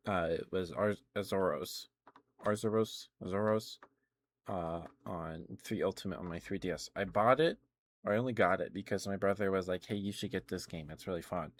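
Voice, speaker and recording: A man with a deep voice hosts a casual ASMR livestream. He chats in a loose, rambling way, often halting and picking his thought back up. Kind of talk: casual ASMR gaming chat.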